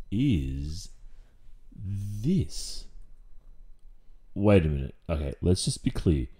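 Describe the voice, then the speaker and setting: A dominant, exasperated Australian male teacher, played by a man in an ASMR roleplay. His voice is low and very mellow, with a dark tone. He takes his time over each phrase, dry and sarcastic, with pointed pauses. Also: exaggerated voice.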